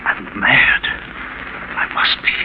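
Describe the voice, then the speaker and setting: A man's voice: slow, close to an everyday voice. An actor playing an anxious wealthy man who fears for his sanity, agitated and hesitant, in a 1940s radio thriller. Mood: panicked. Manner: agitated, hesitant, repeating himself. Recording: scratchy, muffled 1940s radio broadcast.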